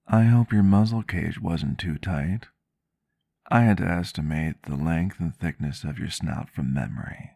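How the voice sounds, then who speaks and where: A man playing a gentle, courteous vampire doctor in an ASMR roleplay, soft-spoken, caring and formal in his wording, close to the mic. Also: low-pitched, unhurried, creaky, no echo, exaggerated voice. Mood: tender, reassuring. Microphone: close microphone, dry sound.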